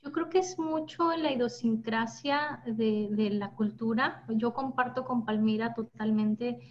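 A woman's voice: fairly high pitch, measured pace. A woman, giving personal testimony in conversation. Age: 30s. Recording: dull lo-fi audio, background noise.

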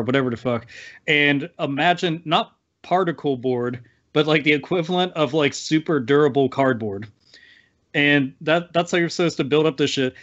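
Male panelist, higher-leaning voice, opinionated and foul-mouthed casual chat, slightly lo-fi sound. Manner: blunt, heavy swearing, slangy. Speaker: podcast panelist.

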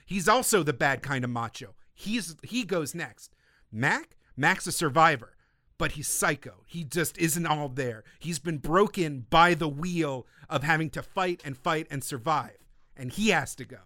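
Bright, animated man hosting a podcast. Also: on the high side, measured pace, no echo, clean studio sound. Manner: animated storyteller, swears freely. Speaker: podcast co-host. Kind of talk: casual film podcast chat.

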